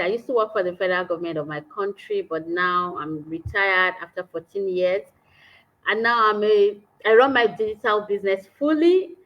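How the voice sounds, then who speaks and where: A woman from Nigeria joining a livestream as a guest, who laughs often and says "you know" a lot. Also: mid-range voice. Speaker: guest business partner. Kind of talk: casual livestream chat. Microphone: video call. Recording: dull lo-fi call audio.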